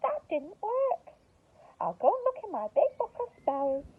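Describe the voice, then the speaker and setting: A woman voicing an excitable, exasperated storybook witch in a dramatic, exclaiming manner. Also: very high-pitched, unhurried, phone-quality sound, voice pushed up, full character voice. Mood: frustrated.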